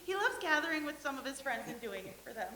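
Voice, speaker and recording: A woman's voice: high voice, very animated, fast talker, bright, forceful tone, a little hiss or hum, full character voice. A woman playing a vain, starry-eyed young stage actress who gushes naively in a staged play reading. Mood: dreamy. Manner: gushing and naive, with giggles. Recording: echoey room.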